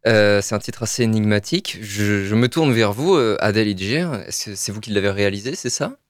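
A man hosting a radio interview, asking questions in a relaxed way with frequent murmured acknowledgements. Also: fairly low pitch, brisk, no room sound, clean studio sound. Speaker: radio host.

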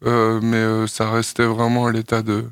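A young man from France, a singer-songwriter, answering radio interview questions in a thoughtful, hesitant way with many pauses and fillers. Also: fairly low pitch, monotone, clear, resonant tone, dry recording, studio quality.

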